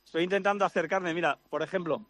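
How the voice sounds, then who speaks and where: A man doing a live sports report from a city street, talking in a Spain Spanish accent. His voice sits a touch high, and the sound is dry and close, with no room around it. He keeps a chatty, animated flow while he walks. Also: fast, no room sound. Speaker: sports radio reporter on location. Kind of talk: live radio phone-in report.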